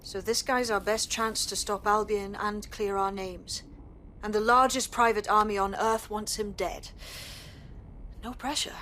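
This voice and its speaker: A woman's voice: mid-range voice, fairly even intonation, measured pace, bright, forceful tone, slight background noise. A woman playing a serious, determined resistance leader in a video game cutscene, earnest and businesslike. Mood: concerned, thoughtful.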